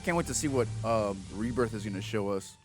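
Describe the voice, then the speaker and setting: A man's voice: fairly low pitch, expressive, a little fast, music in the background. A man doing casual let's play commentary, joking and slangy as he streams.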